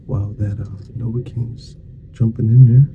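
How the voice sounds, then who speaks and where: A soft-spoken man playing a dentist in an ASMR roleplay, murmuring casual, reassuring and unhurried patter to a patient. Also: on the low side, steady tone, creaky. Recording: dull muffled lo-fi sound with faint noise.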